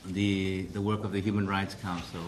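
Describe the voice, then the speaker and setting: A man giving a formal press briefing as president of a council, speaking carefully with many pauses and restarts. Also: low-pitched, level tone, noisy background.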